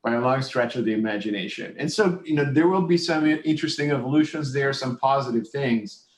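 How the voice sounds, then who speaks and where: A man's voice: mid-range voice, brisk. Man, concerned, echoey room. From United States. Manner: earnest, concerned, many fillers. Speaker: panel speaker, business professor. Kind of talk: panel discussion. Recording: roomy echo.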